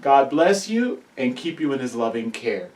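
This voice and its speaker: A man hosts a small talk show. He chimes in with brief affirmations and wraps up with a friendly sign-off. His voice sits back from the microphone in a room with a clear echo. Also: medium pitch, sing-song, normal speed, a little mellow. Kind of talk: casual interview hosting. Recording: roomy, distant from the mic.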